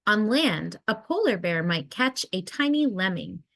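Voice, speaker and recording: A woman reading a children's nonfiction picture book aloud in a measured, expressive storytelling way. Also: medium pitch, creaky, dry recording. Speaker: read-aloud narrator.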